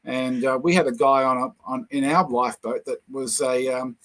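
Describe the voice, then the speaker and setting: An older man with a New Zealand accent, a guest lecturer telling anecdotes over a video call, with many pauses and fillers. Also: medium pitch, steady tone, a bit bright. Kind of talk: casual chat, storytelling.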